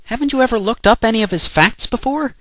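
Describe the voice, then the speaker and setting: Man as a bright, whiny young adventurer hero. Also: extremely high pitch, fast talker, no echo. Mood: cocky. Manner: whiny complaining, smug. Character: cocky, whiny young adventurer hero, male, dim-witted. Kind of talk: fan parody cartoon dub.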